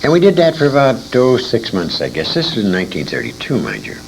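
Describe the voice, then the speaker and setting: A man's voice: lowish voice, wildly swooping pitch, quick pace, gravelly. A man in his sixties telling detailed, emphatic anecdotes with asides, as a veteran radio actor reminiscing in an interview. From born in England, grew up in Detroit, USA. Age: 60s. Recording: noisy 1980s convention interview recording.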